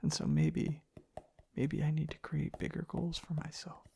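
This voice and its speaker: A man speaking in a soft, hushed, half-whispered ASMR ramble, breathy and gravelly, in unhurried halting phrases. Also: on the high side, very animated, a little hiss or hum. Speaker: ASMR content creator. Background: tapping and clicking sounds.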